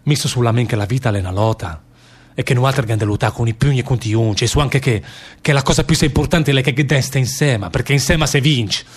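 Actor as defiant condemned worker with Lombard accent. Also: male voice, mid-range voice, brisk, slightly rough, close to an everyday voice. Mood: earnest. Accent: northern Italian, Lombard. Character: condemned Italian anarchist emigrant worker, defiant, bitter, weary. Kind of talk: stage-style radio drama monologue in dialect.

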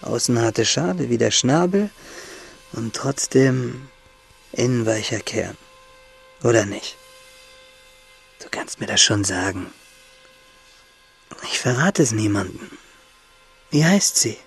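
An actor playing a dying young man in a radio drama, husky and gravelly, musing intimately in speech, bitter yet playful, asking and answering himself. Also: mid-range voice, animated, slow, long pauses, soft background music, heavily acted. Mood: gently teasing.

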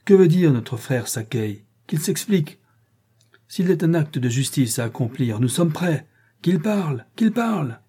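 A man's voice: mid-range voice, animated, unhurried, mellow, slightly rough. A man voicing a village chief who insistently demands an explanation, in a storybook reading.

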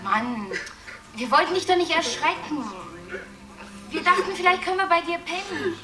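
A woman's voice: very high voice, lively intonation, moderate pace. A woman playing a brash, teasing, streetwise drifter in a radio play, with a mocking, blunt manner. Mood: cheeky, coaxing. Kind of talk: colloquial German radio play acting. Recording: echoey room, distant mic, music behind.

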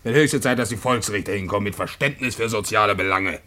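Low-voiced, grumbling stubborn pub landlord. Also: male voice, quick pace, sharp tone. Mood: indignant grumbling. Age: middle-aged. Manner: blustering, argumentative. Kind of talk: German radio play acting. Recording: noisy old radio drama recording.

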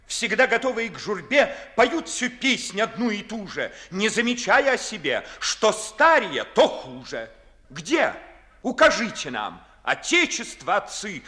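Indignant, high, ringing young gentleman. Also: heavily acted. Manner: declamatory, crisply rhetorical, biting. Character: proud, indignant young gentleman, eloquent scornful idealist. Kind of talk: 1950s Russian classical stage verse acting.

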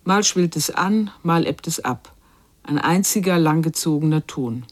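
An actress playing a grave, unsparing narrator in a literary radio drama, speaking in measured, incantatory short phrases. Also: female voice, low-pitched, animated. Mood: grave, melancholy. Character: female narrator of a child's inner torment, grave, unsparing.

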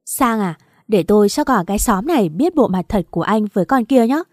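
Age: young adult. Figure: betrayed wife in a spoken audio story, shouting with rage, acted by a woman narrator. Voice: warm and mellow. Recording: dry. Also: mid-range voice, expressive, fairly quick, no echo, close to an everyday voice. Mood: furious. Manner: shouting, raging accusations.

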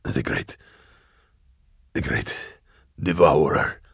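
A man voicing a mortally wounded hunter who gasps out broken, breathy phrases with faltering pauses in a storybook reading. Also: rumbling low, steady tone, no room sound, clean studio sound, pitched below the performer's own voice, full character voice. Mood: weak, haunted. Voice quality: breathy, broken, halting whisper. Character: mortally wounded male hunter, gasping his last words.

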